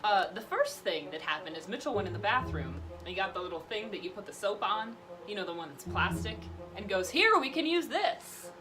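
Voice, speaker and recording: A young woman vlogger casually telling stories in an animated way, acting out what people said. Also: medium pitch, a little fast. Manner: animated, acts out quotes. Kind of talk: casual storytelling. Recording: music behind the voice.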